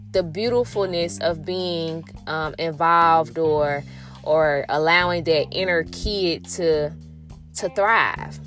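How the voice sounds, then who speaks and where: A woman's voice: fairly low pitch, a bit bright. A middle-aged woman telling a personal story in a rambling, reflective way, with many restarts and fillers and a spiritual turn. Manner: rambling, full of "you know" and restarts. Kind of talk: casual spoken storytelling.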